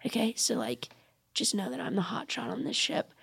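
A woman's voice: extremely high pitch, animated, normal speed, fairly bright tone, heavily acted. A woman playing a cocky, lovesick, dramatic young male space cadet in improvised sci-fi comedy. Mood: whispered menace.

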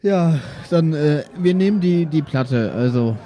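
A man's voice: fairly high pitch, lively intonation, unhurried, warm tone. A man playing a polite but firm, weary father in an improvised tabletop audio play. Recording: chattering crowd audible behind.

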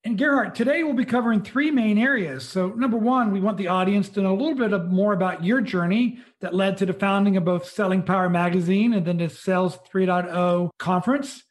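High-voiced male podcast co-host. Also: brisk, few pauses. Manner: structured, reads a prepared agenda. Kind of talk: scripted podcast intro and questions.

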